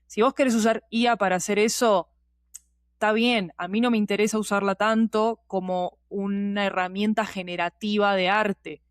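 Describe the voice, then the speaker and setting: A woman's voice: medium pitch, fairly even intonation, moderate pace, no echo. Rioplatense woman chatting, close dry sound. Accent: Rioplatense Spanish. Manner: informal, slangy, with frequent conversational tags. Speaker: podcast guest, audio fiction producer. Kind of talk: casual, opinionated conversation.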